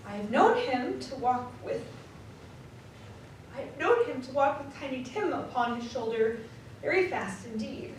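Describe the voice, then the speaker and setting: Woman playing a gentle, slightly high-voiced mother. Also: big pitch swings, measured pace. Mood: gentle sorrow. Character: Mrs. Cratchit, gentle sorrowing mother hiding tears. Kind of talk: live radio drama acting. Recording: echoey live stage, distant mic, faint noise.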